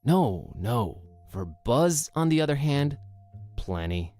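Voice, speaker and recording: A man playing an easygoing, slangy young student librarian in an animated video game, casual and wryly dismissive. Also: mid-range voice, takes it slowly, faint music behind. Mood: wry, chatty.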